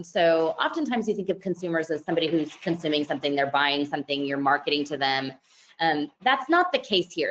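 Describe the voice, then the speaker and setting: A woman with a loud voice at a middle pitch walks listeners through legal rules in a conversational webinar. She explains patiently and corrects herself as she goes. The audio sounds a little dull, as from an online meeting. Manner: conversational and explanatory, frequent fillers and self-corrections. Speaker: company lawyer presenting a compliance webinar. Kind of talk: webinar presentation. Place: office at company headquarters, heavy rain outside.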